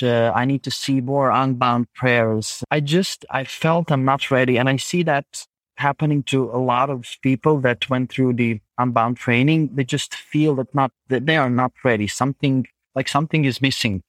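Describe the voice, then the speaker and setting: Earnest Croatian-accented man, halting. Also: medium pitch, no room sound. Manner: earnest, halting, with many restarts. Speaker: guest. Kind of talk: podcast conversation.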